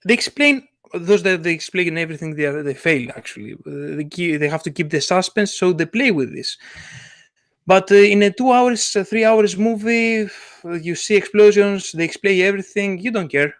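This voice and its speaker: A man's voice: sits high, no room sound, clean studio sound. A man who speaks English as a second language, co-hosting a casual podcast and searching for his words as he goes. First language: not English. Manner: halting, searching for words in non-native English. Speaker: podcast co-host and illustrator.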